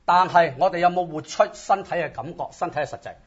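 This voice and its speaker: A man's voice: a little high, fast. A man preaching in short phrases, exhorting listeners with rhetorical questions. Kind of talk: sermon in short phrases with pauses for interpretation. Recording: dull, lo-fi audio.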